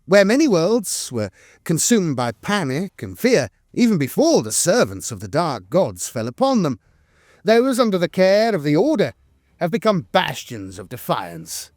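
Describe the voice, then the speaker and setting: A man reading scripted lore narration in a measured, formal way, with the occasional hesitation. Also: fairly high pitch, wildly swooping pitch, darkish tone, no echo, studio quality. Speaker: channel narrator.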